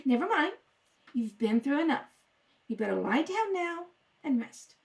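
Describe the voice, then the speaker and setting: A woman playing a calm, soothing confidante who questions gently, in an old-time radio drama. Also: high-pitched, a little slow, gravelly, heavily acted. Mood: soothing, concerned. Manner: gentle, reassuring. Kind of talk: 1930s radio drama acting.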